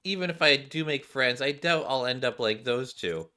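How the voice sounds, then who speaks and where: A man's voice: medium pitch, normal speed, pushed and bright, natural voice. A man voicing a shy, earnest schoolgirl in a video game story, speaking in a hesitant, questioning way. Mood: curious.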